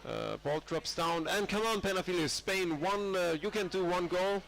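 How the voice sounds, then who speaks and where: A man's voice: high voice, moderate pace, creaky, a bit bright, poor audio. A man with a cold commentating a live match, rambling with many fillers and restarts. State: has a cold. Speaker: sports commentator.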